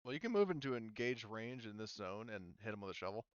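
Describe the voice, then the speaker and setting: A man's voice: lowish voice, sing-song, fast talker, smooth, no room sound, lo-fi sound. A man acting as game master, casually narrating a tabletop game in informal, profane language.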